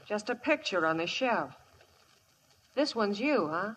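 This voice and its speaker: A woman's voice: medium pitch, big pitch swings, unhurried, a bit bright, husky, full character voice. A woman acting a cool, defiant dance hall woman in a 1950s radio western. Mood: cool, probing. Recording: noisy old radio broadcast.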